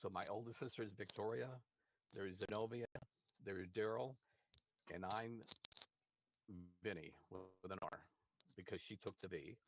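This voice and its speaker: Low-voiced man, hesitant panel speaker. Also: moderate pace, pauses often, background noise. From Oakland, California. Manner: hesitant, many fillers and restarts. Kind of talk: panel self-introduction.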